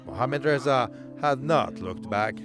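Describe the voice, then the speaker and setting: A man reporting, narrating a news feature in a measured, scripted voice-over. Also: medium pitch. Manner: measured, even delivery. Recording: voice-over with music bed. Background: music.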